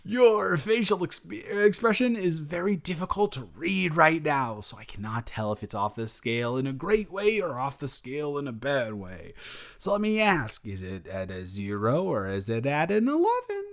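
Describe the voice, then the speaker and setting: A man's voice: on the high side, big pitch swings, normal speed, vocal fry. A man acting as a sheepish, rambling young roommate guy. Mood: nervous, relieved. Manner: hesitant, rambling, stammering, repeating words. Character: sheepish, awkward, good-natured male roommate, secretly smitten. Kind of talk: improvised roleplay audio, casual conversational acting.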